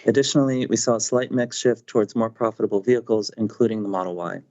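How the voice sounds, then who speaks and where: A man reading prepared financial results on a company earnings call in a steady, businesslike way. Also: fairly low pitch, quick pace. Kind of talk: read prepared financial remarks. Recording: dull lo-fi conference call audio.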